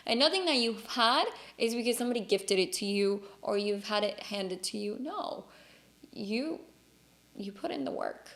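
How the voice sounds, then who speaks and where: A woman's voice: medium pitch, expressive, normal speed, creaky, a bit bright. A woman from Cuba, a native Spanish speaker, hosting a casual conversational podcast in an earnest, emphatic way. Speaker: podcast host.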